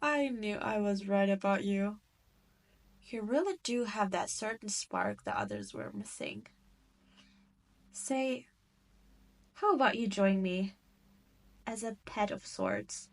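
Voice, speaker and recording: A woman's voice: mid-range voice, very animated. A woman voicing a cruel, haughty demon queen in a roleplay audio drama, with a teasing, condescending manner. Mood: amused, intrigued. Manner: slow, teasing, condescending drawl with theatrical emphasis.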